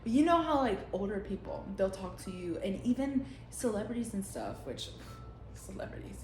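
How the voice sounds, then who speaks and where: A woman's voice: medium pitch, sing-song, normal speed, darkish tone, soft background music. Blunt, laughing young woman vlogger. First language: Spanish. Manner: blunt, profane, rambling with frequent laughs. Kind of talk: unscripted casual monologue. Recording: roomy echo.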